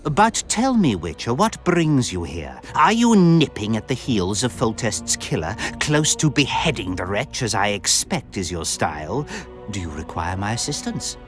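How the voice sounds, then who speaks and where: An actor playing a refined, verbose count in a video game, speaking in florid, theatrical, pompous phrases with sardonic and lecherous relish. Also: male voice, lowish voice, very animated, normal speed. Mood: courtly, probing. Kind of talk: video game cutscene voice acting. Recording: music behind the voice.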